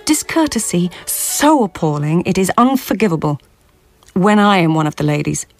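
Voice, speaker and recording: Haughty, low-voiced court lady. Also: lively intonation, moderate pace, no echo, faint music behind. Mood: indignant. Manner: formal, haughty. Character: proud, sharp-tongued lady of an imperial court. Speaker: actor. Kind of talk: radio drama acting and narration.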